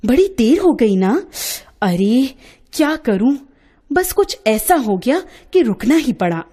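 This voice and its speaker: A woman voicing an excitable, warm-hearted young woman artist in a storybook tale, with familiar exclamations. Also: a little high, takes it slowly, husky, heavily acted. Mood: flustered.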